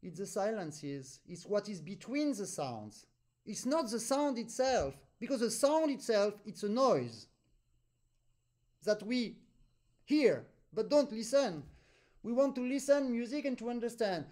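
A man with a French accent, a native French speaker, teaching an accordion master class over a video call, animated and pausing deliberately between phrases. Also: high voice. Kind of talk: online master class instruction.

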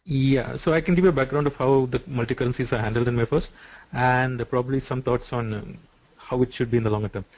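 A man on a video call who explains software features step by step, with frequent "uh" and "so". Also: medium pitch, fairly even intonation, fast talker, dry recording, poor audio. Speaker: software developer answering questions.

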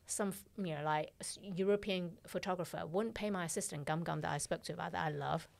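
Blunt, animated woman telling a story. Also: fairly low pitch, a little fast, gravelly. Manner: blunt, animated, swears freely, acts out dialogue. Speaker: podcast guest, fashion director. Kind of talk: casual interview storytelling. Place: small dark podcast studio. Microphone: studio mic on arm, headphones.

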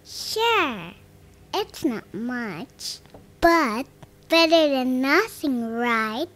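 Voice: sits high, sing-song, drawn-out words, a bit bright, exaggerated voice. A young performer playing a male villager in a children's story, emphatic and childlike, with slight noise. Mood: kind, delighted. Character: young male villagers, woodcutter, farmer and sawyer.

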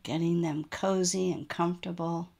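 A woman guiding a relaxation meditation as a yoga nidra teacher, in a gentle, soothing manner with unhurried pauses. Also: lowish voice, steady tone, vocal fry.

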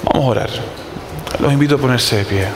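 A man's voice: medium pitch, fast talker. A man preaches a sermon in short, emphatic phrases. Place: stone-walled garden stage with band gear. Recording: echoey, clearly noisy live room sound.

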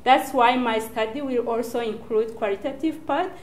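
A woman in her thirties with a Rwandan accent gives an earnest, prepared research talk as a doctoral student, phrasing things deliberately. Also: a little high, a little hiss or hum. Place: small indoor lecture room, standing before a screen. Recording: echoey lecture room.

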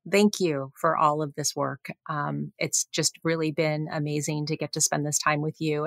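Woman, podcast interviewer, very low pitch, dry studio-like sound, effusive and encouraging. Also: fairly bright tone, dry recording. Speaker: podcast host, interviewer, physician. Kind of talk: professional medical interview.

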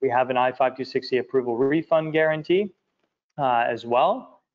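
Man, presenter, slide walkthrough, halting with fillers, muffled audio. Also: mid-range voice. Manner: halting, many fillers and repeated words. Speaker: presenter of an investment project video. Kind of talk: walking through presentation slides.

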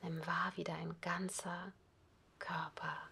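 A woman yoga teacher softly whispering a guided relaxation, calm and unhurried. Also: fairly low pitch, vocal fry, slight background noise. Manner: soft whispered, slow and calm. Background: ocean waves.

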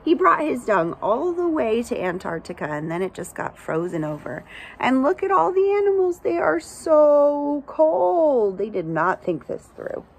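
A young adult woman reading a picture book aloud to children outdoors, with expressive, chatty asides and simple questions for young listeners. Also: high voice, unhurried, gravelly, breathy edge, rough recording. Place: outdoors under a shelter among pine trees.

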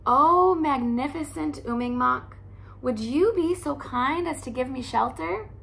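A grand, courteous snowy owl from a storybook, put on by a woman reading aloud. She speaks in a raised, flowery way with a gravelly edge. The pace is slow. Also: high voice, lively intonation, fairly bright tone, exaggerated voice. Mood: pleading.

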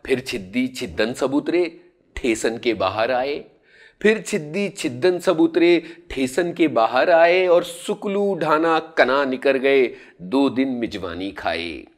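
A man in his forties, a radio host, recounting a memory and then reciting a rural poem in an expressive, sing-song way, recorded indoors on a lapel mic. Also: mid-range voice, takes it slowly. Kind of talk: storytelling poetry recitation. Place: indoor studio room.

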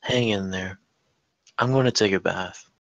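Casting: male reader as tired, concerned mom, lowish voice, plain subdued drama read, dry sound. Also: unhurried, gravelly, fairly bright tone, no room sound, highs cut off, exaggerated voice. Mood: caring. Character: weary working mother, concerned and principled. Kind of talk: table read of a TV drama script.

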